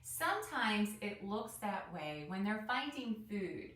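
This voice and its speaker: Woman playing a slow-talking zookeeper tour guide. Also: medium pitch, sing-song, a bit bright. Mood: instructive. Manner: measured, instructive delivery. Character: zookeeper woman giving instructive tour facts to children. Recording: roomy echo, distant mic.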